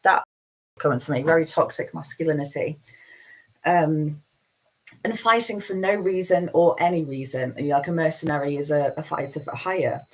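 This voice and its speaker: A woman's voice: deep voice, moderate pace, low-quality recording. A woman with a Manchester accent giving a meandering, self-deprecating spiritual talk as host of a live session. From Manchester, England.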